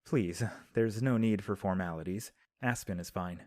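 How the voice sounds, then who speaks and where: A man playing a calm, formal young prince. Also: low-pitched, normal speed, dry recording, studio quality, natural voice. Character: composed young male prince giving counsel. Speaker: voice actor. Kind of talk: audio drama acting. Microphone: home recording.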